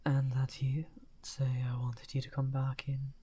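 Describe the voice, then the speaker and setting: A man playing a lonely, misunderstood evil wizard in an ASMR roleplay, speaking softly and courteously in a breathy, whispery, halting voice. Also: medium pitch, monotone. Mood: hopeful, coaxing. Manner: slow, halting, musing.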